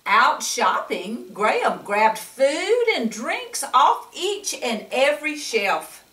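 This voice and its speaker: Older woman, grandmotherly storyteller, unhurried pace, picture-book read-aloud, coaxing questions to children, mic at a distance in an echoey room. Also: high-pitched, big pitch swings, sharp tone.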